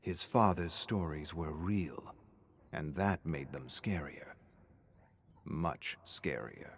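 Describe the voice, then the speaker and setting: A man narrating a scripted dark horror story in a measured, dramatic way, with deliberate pauses and phrases that sink toward a hush. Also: low-pitched, slight background noise.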